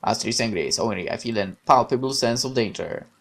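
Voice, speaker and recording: A man's voice: medium pitch, expressive, fast, a bit bright. A man reading a visual novel aloud in the first person and breaking off for hesitant, rambling asides full of fillers. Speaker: game streamer.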